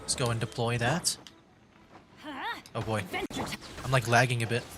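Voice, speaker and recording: Casual streamer talking over clear game music. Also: medium pitch, little pitch movement, measured pace. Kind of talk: casual livestream commentary.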